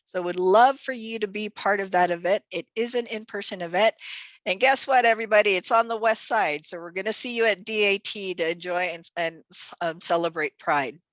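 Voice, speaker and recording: A woman's voice: medium pitch, brisk, dry recording, highs cut off. A woman whose first language is Tagalog, hosting a virtual event as executive director, chatty and upbeat with many fillers, speaking over a video call. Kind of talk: virtual event welcome and announcements. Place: home or office on a video call.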